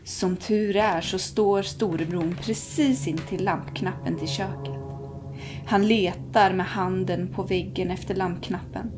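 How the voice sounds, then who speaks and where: A woman's voice: fairly low pitch, steady tone. A young woman reading a ghost story aloud to children in a cozy living room, dropping to whispers at the tense moments. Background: soft background music.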